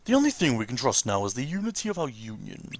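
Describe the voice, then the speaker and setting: A man's voice: medium pitch, sing-song, quick pace, no room sound. A man voicing a hostile, suspicious young warrior who speaks sternly in a video game story.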